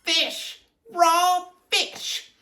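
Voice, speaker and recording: A man's voice: very high voice, little pitch movement, very slow, bright, forceful tone, roomy sound, voice pushed up, full character voice. A man voicing a fussy, exclamatory lady guest in a storybook. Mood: disgusted.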